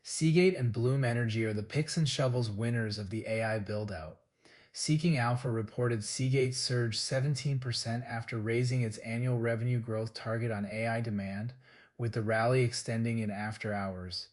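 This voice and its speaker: A man reading scripted business news as a host, in a formal, measured delivery. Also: mid-range voice, a little mellow.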